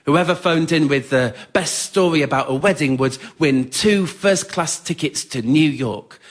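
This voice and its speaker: A man's voice: on the high side, unhurried. A man tells an autobiographical story to a theatre audience in an understated, wry, plain-spoken way, as the writer and performer of a solo show. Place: theatre stage in front of an audience.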